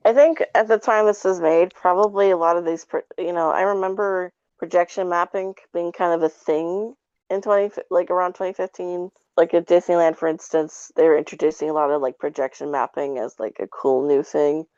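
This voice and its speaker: A woman's voice: on the low side, fairly even intonation, brisk, darkish tone, smooth, dry recording, lo-fi sound. A woman in a casual review chat, talking in a nerdy, hedging way as a podcast co-host. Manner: hedging, self-described nerdy enthusiast.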